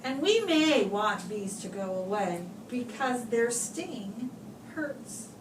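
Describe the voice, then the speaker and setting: A woman reading a children's picture book aloud like a teacher, with expressive, deliberate phrasing and pauses for young listeners, recorded at a distance in a room. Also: on the high side. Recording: roomy, distant microphone, clear background noise.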